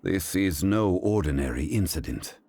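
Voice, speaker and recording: An actor playing a composed, erudite gentleman in a video game, speaking in a measured, formal and courteous manner. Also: deep voice, expressive. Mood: grave, measured. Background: game music.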